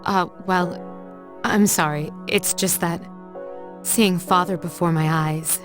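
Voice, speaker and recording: A woman playing a composed, stern and reserved upperclasswoman in a video game, speaking formally and with measured words. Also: on the low side, steady tone, over music. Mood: shaken, subdued.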